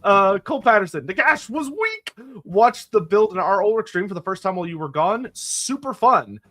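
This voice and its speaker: A man's voice: high voice, very animated, normal speed, fairly bright tone. A man co-hosting in casual chat and reading out viewer comments in a wry, jokey manner. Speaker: co-host.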